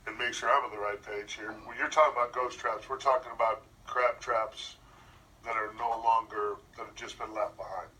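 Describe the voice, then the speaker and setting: A man's voice: mid-range voice, fairly even intonation, normal speed, sharp tone, recorded from a distance, low-quality recording. A man hosting a radio show, talking in a casual interview style. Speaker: radio host.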